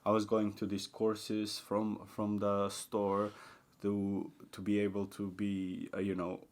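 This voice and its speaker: A young man chatting casually on a podcast, rambling with many fillers and restarts, his voice jumping from excited and high to quiet and low. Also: takes it slowly. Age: young adult. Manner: rambling, repeats himself, often says like and uh; swings between excited high pitch and quiet low mumbling. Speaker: podcast guest. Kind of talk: casual chat.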